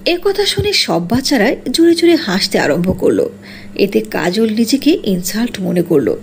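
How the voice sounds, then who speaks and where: A woman's voice: mid-range voice, big pitch swings, normal speed, vocal fry, a little hiss or hum. A woman narrating a scripted cartoon fairy tale.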